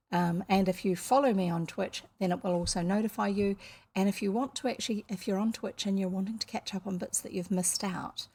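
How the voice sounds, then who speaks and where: Chatty New Zealand woman, gravelly edge. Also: mid-range voice. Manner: rambling and chatty, many fillers. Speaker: storytelling streamer. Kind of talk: casual chat to viewers.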